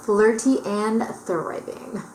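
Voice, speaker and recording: A woman's voice: mid-range voice, slow pace, darkish tone, echoey room, slight background noise, low-quality recording. A woman of thirty, chatting upbeat to camera as a mom vlogger, laughing often. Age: 30s. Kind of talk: casual chat to camera.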